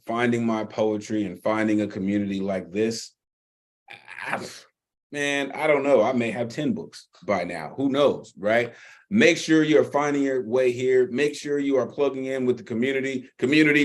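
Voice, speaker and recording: A man in his forties hosting an online poetry open mic over a video call, giving shout-outs with a loose, filler-filled patter. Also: mid-range voice.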